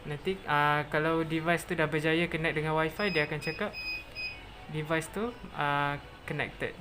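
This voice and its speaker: Malaysian person doing a step by step tech tutorial, drops in english terms, mid pitch, noisy recording. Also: steady tone, moderate pace. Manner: mixes in English technical terms. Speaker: product tutorial presenter. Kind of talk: step-by-step software tutorial narration.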